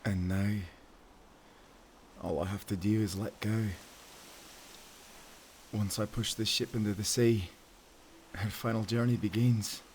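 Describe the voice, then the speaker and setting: A man playing a weathered old sailor in an audio drama, gruff but tender and heavy with grief. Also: on the low side, fairly even intonation, a little mellow, a little hiss or hum. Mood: solemn, resigned. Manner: slow, heavy, halting. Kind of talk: cinematic audio drama acting.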